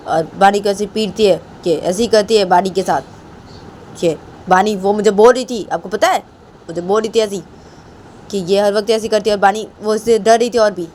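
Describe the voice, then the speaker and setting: An earnest grown uncle pours out complaints, going over the same point again and again, voiced by a woman in a lowered tone. It is a plain home-made spoken story. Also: a little mellow, a little hiss or hum, natural voice. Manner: earnest, rambling, repeats himself. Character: adult man, an uncle, complaining to his mother.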